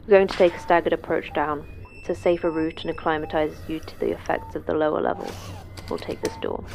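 A woman's voice: low voice, steady tone, fairly quick, creaky, darkish tone, faint music behind, rough recording. A woman playing the terse, stern manager of a secret monster prison in a sci-fi horror audio drama, speaking in a clipped, matter-of-fact way. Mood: grave, guarded.

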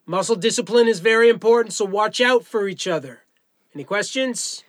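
A man playing a brisk, encouraging firearms instructor in an audio drama, with clipped, commanding delivery. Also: sits high, no room sound, studio quality, close to an everyday voice. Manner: commanding, clipped instruction with coaxing pep talk.

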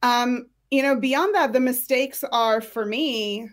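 Frank woman, higher-pitched, giving advice. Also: slow pace. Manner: frank, direct. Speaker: screenwriting career coach, guest. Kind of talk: conversational expert advice.

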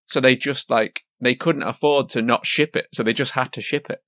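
A man's voice: medium pitch, normal speed, fairly bright tone. A man co-hosting a podcast, easygoing and sharing film trivia in casual chat. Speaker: podcast co-host.

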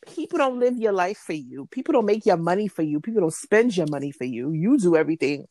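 A woman's voice: fairly low pitch, sing-song, warm tone, no echo. Frank woman in her late twenties, dry sound. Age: late 20s. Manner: frank, pressing questions, drawn-out emphasis. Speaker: podcast co-host. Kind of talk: casual chat.

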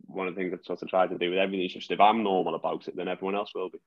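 A man's voice: low voice, little pitch movement, rapid-fire. A young man who stammers, talking casually about growing up with it, with many restarts. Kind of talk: casual conversation. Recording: dull lo-fi sound.

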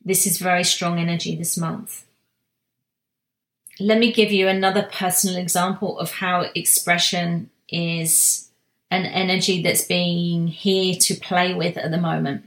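A woman giving a slow, reflective spiritual talk, soft-spoken, with long pauses and restarts. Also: fairly low pitch, level tone, echoey room. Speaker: spiritual guide sharing monthly energy reading.

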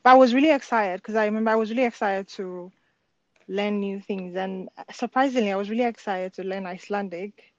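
A woman's voice: mid-range voice, fairly quick, a little mellow, no room sound. Young Nigerian woman, muffled dry sound. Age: young adult. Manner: friendly, laughs often, uses fillers. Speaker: podcast guest. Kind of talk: casual chat.

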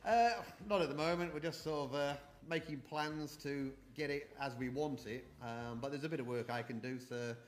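A man's voice: on the high side, steady tone, moderate pace, hardly any pauses, slight background noise. A middle-aged man from New Zealand who moved to the UK as a child, chatting and rambling through memories as an interview guest. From born in New Zealand, moved to the UK aged eight. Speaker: podcast guest. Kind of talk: casual interview, reminiscing.

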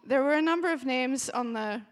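A woman's voice: high-pitched, normal speed. A woman in her forties, a worship director, giving a chatty and emotional welcome to a church retreat in a large hall. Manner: warm, chatty and emotional, with bursts of cheering. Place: large hall with a live audience. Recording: long hall reverb.

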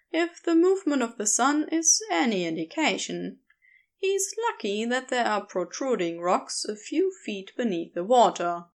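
A woman narrates fiction in a fairly high voice that rises and falls in lively swings. She takes her time, reading the scripted story with clear expression. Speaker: podfic reader.

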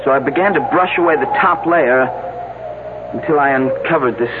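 A man's voice: mid-range voice, moderate pace, narrow, tinny audio, close to an everyday voice. A man playing a sober, dutiful young archaeology assistant in a 1970s radio drama. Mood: matter-of-fact. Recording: thin band-limited old radio, noisy.

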